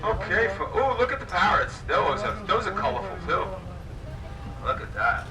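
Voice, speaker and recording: A hoarse man with a raspy voice, chatting and joking with riders as he gives a guided trolley tour. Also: high-pitched, big pitch swings, normal speed, a crowd in the background. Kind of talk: live guided tour commentary over a PA. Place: moving trolley on city streets. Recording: distant voice over loud vehicle noise. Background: trolley engine and street noise.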